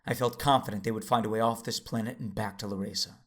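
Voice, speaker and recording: A man's voice: lowish voice, fairly even intonation, fast talker, a bit bright. Man acting a grave, intense battle-worn warrior father. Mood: resigned, breathy. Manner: intense, grave, breathy in places. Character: battle-weary Jedi father narrating his desperate lightsaber duel. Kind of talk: first-person dramatic narration in an audio drama. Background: music.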